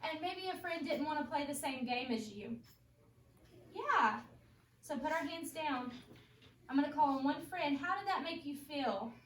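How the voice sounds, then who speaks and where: A woman teacher with a high voice reads a picture book to young children in an emphatic, questioning way, echoing back what they answer. She is recorded at a distance from the microphone in a roomy, echoing space, with some faint noise behind her. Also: lively intonation, measured pace, fairly bright tone. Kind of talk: interactive storybook read-aloud. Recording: roomy echo, distant mic, background noise.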